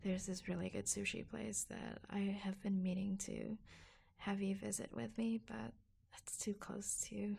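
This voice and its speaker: Woman acting a slow, breathy secret office girlfriend. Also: mid-range voice, little pitch movement, full character voice. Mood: tender, hushed. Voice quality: soft, breathy. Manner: hushed, hesitant, confiding. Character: affectionate young woman in a secret office romance, proud, reassuring. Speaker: ASMR roleplay performer. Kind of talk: intimate ASMR roleplay, soft-spoken and often whispered.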